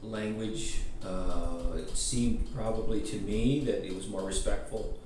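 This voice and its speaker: A middle-aged man, a native Pennsylvania Dutch speaker, talks slowly and thoughtfully in a somewhat low voice. He sounds set back from the mic in a very echoey room. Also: steady tone, far from the mic, background noise. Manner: slow, thoughtful, pausing often. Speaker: interviewee. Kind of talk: reflective personal account.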